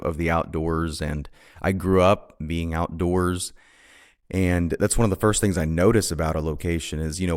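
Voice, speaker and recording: A man with a very low, deep voice hosts his own podcast. He talks off the cuff, looping back and repeating words as he gathers his thoughts. His tone stays earnest and reflective. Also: a little mellow. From Honduras. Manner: unscripted and rambling, with frequent repeated words and restarts. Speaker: podcast host. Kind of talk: solo podcast monologue.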